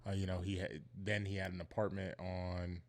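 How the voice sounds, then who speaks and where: A young man from Northern Virginia talks as a podcast guest in a thoughtful, earnest way. He often circles back to make sure he is understood, in a fairly low voice. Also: level tone, moderate pace. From Northern Virginia, United States. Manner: reflective, earnest, leans on "you know what I mean". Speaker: podcast guest, professional football player. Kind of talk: casual interview chat.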